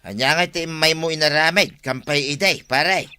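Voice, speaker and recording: An actor playing a folksy, chatty village buddy in a radio comedy-drama, trading familiar, exclamatory banter. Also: male voice, a little high, normal speed, pushed and bright, smooth. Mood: curious, teasing.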